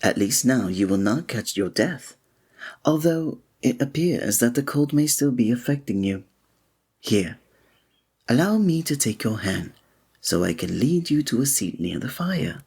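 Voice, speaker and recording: An actor playing a flirtatious, courtly male village innkeeper in an ASMR roleplay, speaking in a formal, breathy way. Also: medium pitch, big pitch swings, a little slow, darkish tone, full character voice. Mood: welcoming.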